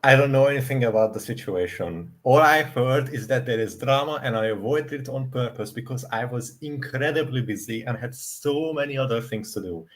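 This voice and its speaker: A man's voice: medium pitch, fairly quick, hardly any pauses. A man bantering casually as a livestream panelist, with a theatrically emphatic way of talking.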